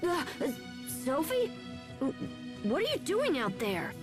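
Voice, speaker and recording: A woman's voice: high voice, measured pace, heavily acted. A woman playing a brash, headstrong young boy with a caring big-brother streak in a video game dub. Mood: excited, surprised. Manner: casual, lively, impulsive. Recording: music under the voice.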